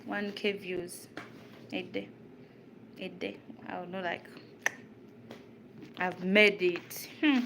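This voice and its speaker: A woman's voice: on the low side, flat delivery, slow, pauses often. Chatty Ugandan woman cooking on camera. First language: Luganda. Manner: chatty, answers viewer questions while cooking. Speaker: vlogger. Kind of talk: casual chat while cooking. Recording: noisy home recording.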